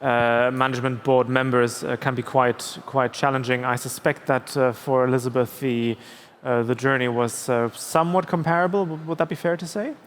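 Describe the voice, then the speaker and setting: A man moderates a panel in a mid-pitched voice. His phrasing is halting and hesitant, and the hall around him rings with reverb. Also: fairly even intonation. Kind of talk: conversational moderating. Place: large summit hall on stage.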